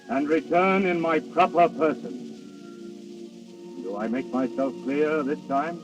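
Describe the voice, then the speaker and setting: A dull, hissy 1930s radio recording with faint music carries Death in princely guise. His voice is fairly high and swoops expressively, with grand, courteous, theatrical phrasing. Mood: imperious. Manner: theatrical, courtly, formal, savoring words. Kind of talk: 1930s radio drama acting.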